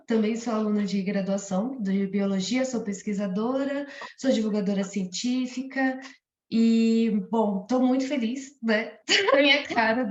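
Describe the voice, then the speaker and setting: Eager young woman in an echoey room. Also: on the high side. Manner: eager and chatty, laughs often. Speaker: co-interviewer. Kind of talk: casual podcast talk. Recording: echoey, dull-sounding room.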